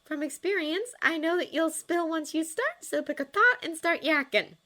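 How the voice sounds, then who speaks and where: Woman as a bold, high-voiced young woman. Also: expressive, moderate pace, sharp tone, exaggerated voice. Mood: teasing. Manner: assertive, teasing. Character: bold, probing, teasing young woman dragon rider.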